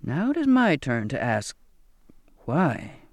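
Kindly, earnest sailor played by a male narrator, unhurried, coaxing, close dry sound. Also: medium pitch, huge pitch swings, dry recording, voice pushed down, exaggerated voice. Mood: surprised, puzzled. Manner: measured, rhetorical questioning. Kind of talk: audiobook character dialogue.

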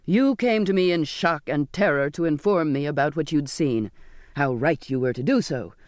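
A man's voice: a little high, expressive, moderate pace, natural voice. A stern ship's captain acted out by a male reader for a dramatised sea story. He is imperious and scornful, pressing every order with cruel authority. The sound is dry, without room echo. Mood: angry, forceful. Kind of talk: dramatised audiobook dialogue.

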